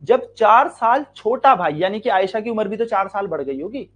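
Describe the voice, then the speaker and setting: A man's voice: sits high, animated, normal speed, a bit bright. A man with an Indian accent teaching an exam-prep maths lesson, explaining step by step and asking his students questions he then answers himself. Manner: repeats key lines and stretches words out to stress them.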